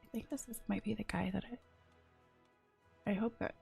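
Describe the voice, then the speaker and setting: A woman with a deeply gravelly, husky voice talks through a strategy game on stream, sniffly and sounding a little stuffed up. She chats loosely and keeps slipping into soft, half-whispered asides to herself. The sound is close and dry, with faint game music underneath. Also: fairly even intonation, moderate pace, dry recording. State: sniffly, runny nose. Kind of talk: casual gameplay commentary.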